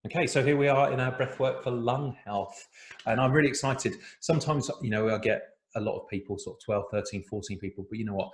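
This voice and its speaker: A man in his forties with an English accent, chatting gently as he leads an online breathwork workshop. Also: medium pitch, fairly quick, roomy sound. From England. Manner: chatty, gentle, with many fillers. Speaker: breathwork facilitator. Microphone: video call.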